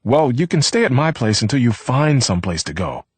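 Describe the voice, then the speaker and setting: An exasperated young man, urgent and coaxing, his husky voice pitched up and swinging with strain, as a narrator acts him out. The sound is dry and close. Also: big pitch swings, moderate pace, dry recording. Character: exasperated young man trying to keep calm.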